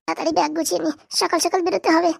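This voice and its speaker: A woman's voice: very high-pitched, fairly even intonation, rapid-fire, creaky, dry recording, clean studio sound, heavily acted. A woman voicing an eager, anxious young daughter in a comedy cartoon, pleading and exclaiming.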